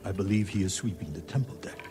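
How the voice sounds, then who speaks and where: A man's voice: deep voice, fast, loud music behind the voice, close to an everyday voice. A man playing a humble, courteous temple monk in a video game, deferential and formal. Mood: welcoming. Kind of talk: dramatic video game acting.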